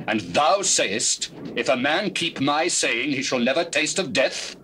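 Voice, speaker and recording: A scornful male Pharisee in a dramatized scripture recording. He challenges and accuses in a declamatory, mid-pitched voice, on slightly hissy old cassette. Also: expressive, measured pace, bright, forceful tone, low-quality recording. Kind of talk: dramatized scripture reading, stage-like acting.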